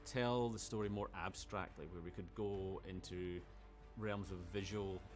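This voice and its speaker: Deep male voice, developer explaining decisions in documentary interview, soft music behind. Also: steady tone, a little slow.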